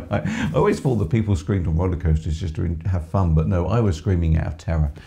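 A middle-aged man with a hoarse voice, chatting on a live stream from a small music shop studio, reading out viewer comments with lots of ums. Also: sits low, big pitch swings, brisk, warm tone. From England. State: hoarse from screaming. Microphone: headset with microphone.

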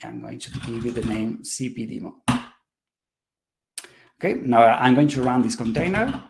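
A man's voice: medium pitch, expressive, normal speed, gravelly, background noise. Hesitant man giving a technical talk. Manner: hesitant, many fillers and restarts. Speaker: conference presenter. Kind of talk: technical talk with live demo.

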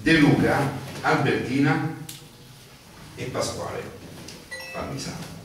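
A man's voice: slow, slight background noise. A man reading out ballot names one by one at a group meeting. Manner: reads names in a steady list, lively pitch. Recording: echoey room, far from the mic, crowd behind.

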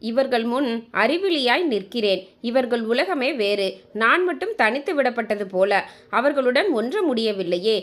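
A woman's voice: fairly high pitch, sing-song, moderate pace. A woman voicing a hurt, loving middle-aged mother with little schooling, plaintive and apologetic, in a narrated story. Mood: anguished prayer.

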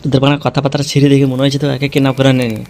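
A man's voice: medium pitch, flat delivery, racing, a little mellow, close to an everyday voice. A man playing a threatening, foul-mouthed gangster kidnapper in an amateur comedy cartoon, blunt and bullying. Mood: bossy.

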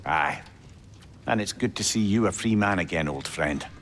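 A man's voice: low-pitched, lively intonation, normal speed, a bit bright, a little hiss or hum. A man playing a clever, chatty old advisor in a video game, genial and wordy. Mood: friendly. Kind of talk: video game character acting.

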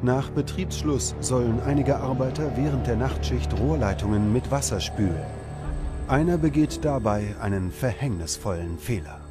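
A man narrating a scripted documentary in a measured, dramatic storytelling manner. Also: medium pitch, expressive, over music.

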